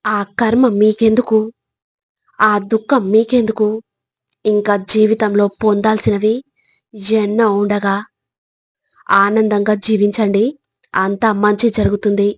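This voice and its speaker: Husky-voiced woman, even motivational narration. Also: medium pitch, measured pace, pauses often, no room sound. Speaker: motivational speaker.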